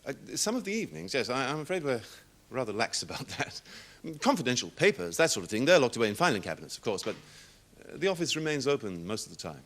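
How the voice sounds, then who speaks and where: An actor playing a courteous but guarded television producer giving clipped, polite testimony in a courtroom drama. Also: male voice, medium pitch, very animated, fast talker, few pauses, a bit bright. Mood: cooperative. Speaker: witness.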